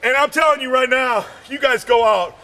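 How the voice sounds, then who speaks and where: A man doing a rambling stand-up comedy act, shouting his punchlines and losing his voice. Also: very high-pitched, moderate pace, low-quality recording. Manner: rambling, shouts punchlines, keeps saying "man" and "you know".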